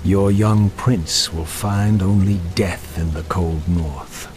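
A man playing a solemn, cryptic prophet in a video game, speaking in a measured, portentous, formal way. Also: sits low, fairly even intonation, background noise. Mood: solemn warning. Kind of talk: video game cutscene acting.

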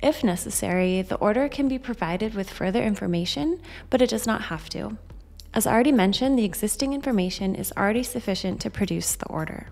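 A woman reading a scripted software demonstration in a measured, instructional way. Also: mid-range voice, animated, creaky. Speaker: software demo narrator. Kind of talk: scripted tutorial narration. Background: background music.

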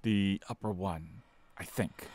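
A man's voice: low voice, takes it slowly, a little mellow. A man playing an earnest, polite space marshal in a radio serial, straight-faced and matter-of-fact. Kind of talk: old-time radio serial acting.

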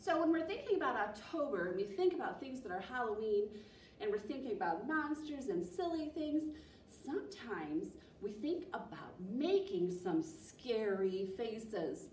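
An American woman reads and chats to small children as a storytime librarian. Her voice is high and very expressive, with an encouraging manner and unhurried delivery. She is recorded at a distance in an echoey room. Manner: animated, encouraging, addressing young children. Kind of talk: children's storytime reading and singalong. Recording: echoey room, distant mic, faint noise.

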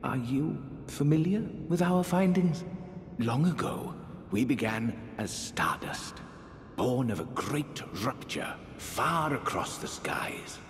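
Fantasy game casting: eerie, genteel high priest, older, unhurried and breathy, grandiloquent, set in echoing reverb with faint rumble. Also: male voice, lively intonation. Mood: rapturous. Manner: theatrical, archaic, drawn-out phrasing. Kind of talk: dramatic fantasy game character dialogue. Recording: echoey hall reverb, faint rumbling noise.

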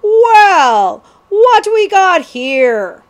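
A woman voicing a big, rough male highwayman in a storybook, gleefully menacing, with rustic outlaw talk. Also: measured pace, darkish tone, close to an everyday voice. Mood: mocking surprise.

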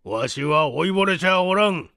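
A man voicing a crotchety old professor who scolds gruffly in old-fashioned elderly speech in an audiobook story. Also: sits high, animated, moderate pace, sharp tone, no echo, studio quality, full character voice. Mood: irritable, scolding.

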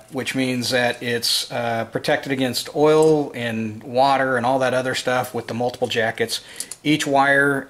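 A man's voice: medium pitch, a bit bright. A man explaining a hands-on task step by step in an informal, unscripted way, as the presenter of a DIY tutorial. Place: indoors at a work table in a room.

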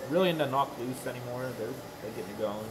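A man's voice: mid-range voice, brisk, background noise. A man in his thirties chatting casually with his live stream while working at a crafting table, rambling and often correcting himself. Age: 30s. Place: workshop room with a worktable.

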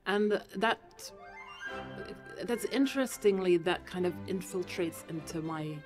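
A woman's voice: fairly low pitch, unhurried, over music. A young woman from Lebanon, a composer, talking animatedly in a podcast interview and laughing often. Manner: animated, laughing often, switching between languages. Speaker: composer guest. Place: room with Christmas trees. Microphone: studio mic on boom arm.

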